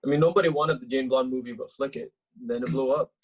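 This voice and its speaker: A cocky young ladies' man played by a man in a comedy read, breezy and boastful. His voice sits at a middling pitch with a rough, husky edge. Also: steady tone, fast talker, close to an everyday voice, poor audio. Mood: smug. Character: cocky, oblivious young male actor, proud ladies' man. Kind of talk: remote table read of a comedy web series script.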